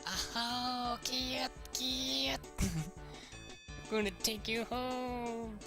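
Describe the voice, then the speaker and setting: A man's voice: extremely high pitch, flat delivery, drawn-out words, music in the background, voice pushed up, exaggerated voice. A man voicing a giddy young visual-novel girl obsessed with cute things, gushing and repeating words dreamily. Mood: excited gushing.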